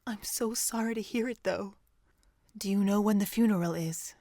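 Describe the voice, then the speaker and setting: Woman playing motherly pony doctor, reassuring, deliberate, mid-range voice, audio drama, dry close recording. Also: mid-range voice, dark tone, no room sound, natural voice. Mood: concerned, sad.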